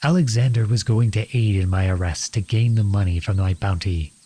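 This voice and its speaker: A male actor voices a runaway prince turned outlaw in a dramatic roleplay. His voice is on the low side and very husky, recorded dry. He speaks with earnest, imploring nobility and an anguished edge. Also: brisk, dark tone, dry recording. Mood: confessional, remorseful. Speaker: audio roleplay performer. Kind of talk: dramatic ASMR roleplay acting.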